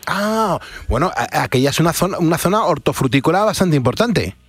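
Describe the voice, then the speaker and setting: Fast-talking Spanish male radio host. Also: fairly high pitch, big pitch swings, husky, no echo, faint music behind. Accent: Spain. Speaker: radio host taking listener calls. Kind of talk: casual, familiar phone-in chat.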